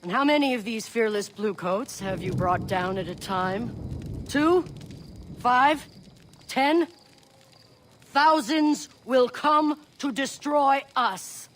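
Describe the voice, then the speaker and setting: A slow, grave clan leader. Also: female voice, fairly high pitch, expressive. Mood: scornful challenge. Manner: grave, deliberate, challenging. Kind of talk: dramatic video game acting.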